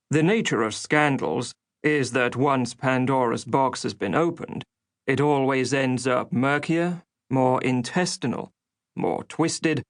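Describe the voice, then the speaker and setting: A man with an average-pitched voice narrates history for an audiobook. His delivery is scripted, in the style of a documentary oral history.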